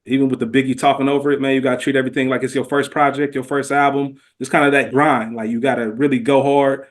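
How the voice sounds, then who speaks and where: A man's voice: medium pitch, level tone, fast talker. Earnest man in casual podcast talk. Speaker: podcast guest. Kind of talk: casual, heartfelt podcast conversation.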